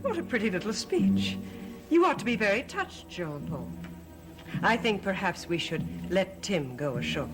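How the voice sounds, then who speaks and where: An actress playing a manipulative, imperious wife who feigns fragility in a gothic soap opera, with theatrical, coaxing questions. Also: female voice, mid-range voice, sing-song, normal speed. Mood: cool, imperious. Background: dramatic music.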